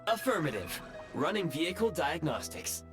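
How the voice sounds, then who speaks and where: Formal, lilting machine autopilot. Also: male voice, on the high side, big pitch swings, moderate pace, a bit bright, faint music behind. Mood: formal, matter-of-fact. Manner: formal, precise, clipped status reports. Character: formal, dryly condescending train autopilot machine. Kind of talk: video game character acting.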